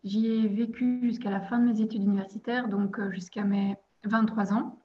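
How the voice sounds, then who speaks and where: A woman's voice: medium pitch, steady tone, normal speed, highs cut off. A young woman from the province of Liège in Belgium, a podcast guest, talking casually with many hesitations and light laughs. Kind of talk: casual interview chat.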